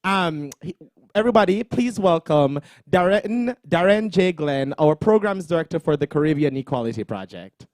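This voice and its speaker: Upbeat man with a higher voice, dry sound. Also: lively intonation, normal speed, no room sound. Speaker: event host. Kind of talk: introducing a speaker.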